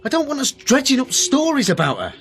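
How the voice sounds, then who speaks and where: An actor playing a prickly, defensive and easily agitated son in a naturalistic radio drama, halting at times. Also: male voice, extremely high pitch, wildly swooping pitch, full character voice.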